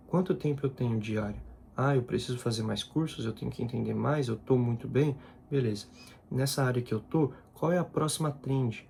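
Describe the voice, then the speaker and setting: Young guy with a gravelly voice. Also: medium pitch, dark tone, a little hiss or hum. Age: 20s. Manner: informal, repeats himself, slangy asides. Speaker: content creator. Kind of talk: casual personal vlog talk.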